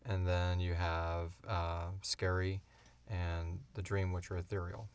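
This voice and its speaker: A man co-hosting a podcast, answering questions in casual, informal, halting talk. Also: sits low, flat delivery, clean tone. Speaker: podcast co-host and show creator. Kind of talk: casual Q&A chat.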